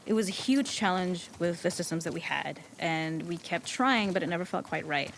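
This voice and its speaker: A woman's voice: lowish voice, expressive, moderate pace, creaky, low-quality recording. Woman giving developer commentary, some background noise. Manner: explanatory. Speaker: game level designer.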